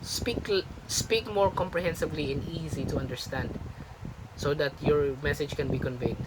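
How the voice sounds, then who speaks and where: Young man, from the Philippines, lecturing, emphatic, fairly high voice, noisy background. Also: moderate pace. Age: young adult. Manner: lecturing, emphatic, repeats himself. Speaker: vlogger's son, critic. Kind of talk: casual family chat. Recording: noisy home recording.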